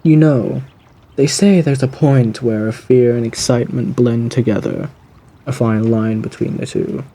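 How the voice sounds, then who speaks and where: A man voicing a suave, sinister gentleman lurking in the woods, in a theatrical, genteel ASMR roleplay with a husky voice. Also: mid-range voice, fairly even intonation. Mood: sinister, enraptured. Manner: theatrical, genteel, drawn-out phrasing with endearments. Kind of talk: ASMR audio roleplay monologue.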